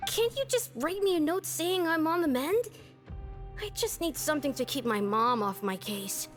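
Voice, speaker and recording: A woman's voice: high-pitched, very animated, normal speed, soft background music. A woman voicing a sulky, restless young boy patient in a video game, whiny and complaining.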